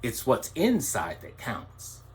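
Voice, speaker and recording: A storyteller voicing a wise, generous blackbird who speaks in a calm, lowered, reassuring way. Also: slow pace. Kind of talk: storytelling with voiced characters.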